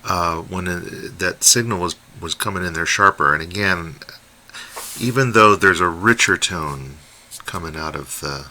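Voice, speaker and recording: A man hosting an unscripted hobby review, talking in a halting way as he thinks aloud while demonstrating. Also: low-pitched, pushed and bright. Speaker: host, radio hobbyist reviewer. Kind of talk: unscripted product demonstration commentary. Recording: faint radio noise behind voice.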